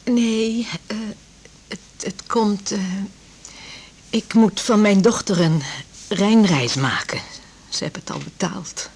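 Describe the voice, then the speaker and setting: An actress in a radio drama playing a chatty, anxious divorced patient in her fifties, rambling and confiding in a breathy voice. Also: medium pitch, takes it slowly, a little mellow. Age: 50s. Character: chatty, anxious fifty-year-old divorced female patient. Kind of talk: naturalistic radio drama dialogue.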